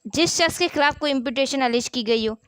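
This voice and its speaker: A woman's voice: high-pitched, quick pace, no echo, studio quality. A woman lectures on law, reading formal text and explaining it step by step with repetition and rhetorical questions. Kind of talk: explanatory law lesson, reading statute articles then explaining them.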